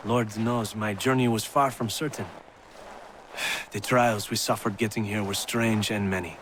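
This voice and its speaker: An actor playing a proud, genial young French officer in a video game, with a French accent and an effusive storytelling manner. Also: male voice, lowish voice, fairly even intonation, unhurried, a bit bright, rough recording. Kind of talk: dramatic video game cutscene acting. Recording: clear background ambience noise.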